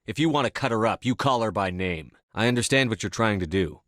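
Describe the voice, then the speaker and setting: A man's voice: lowish voice, lively intonation, a little fast, fairly bright tone, no room sound, clean studio sound. A man playing a commanding, no-nonsense military officer in a video game, speaking in a terse, direct, authoritative way. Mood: firm, insistent. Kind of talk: scripted game dialogue.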